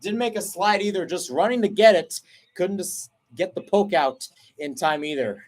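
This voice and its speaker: A man doing radio play-by-play for a soccer match, talking steadily through the passing and breaking off to explain tactics, then jumping up in pitch when a chance opens. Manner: brisk flowing call, rising sharply on chances; self-correcting, explains tactics between plays. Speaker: play-by-play commentator.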